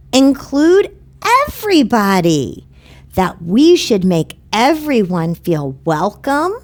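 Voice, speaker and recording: A woman hosting a children's show, explaining a lesson simply and deliberately, with pauses. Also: medium pitch.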